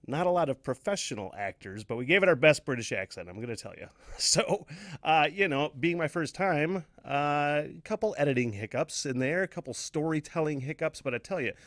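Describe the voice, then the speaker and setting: A man's voice: on the high side, lively intonation, brisk, fairly bright tone, no room sound, clean studio sound. A man hosting a podcast, talking casually and directly to listeners in a chatty, folksy way. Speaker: podcast host.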